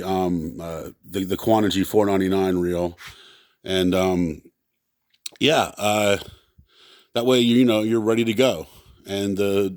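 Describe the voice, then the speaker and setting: A man giving a casual, unscripted equipment demonstration as a seller, speaking in a halting way as he thinks aloud. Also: on the low side, darkish tone, dry recording, clean studio sound.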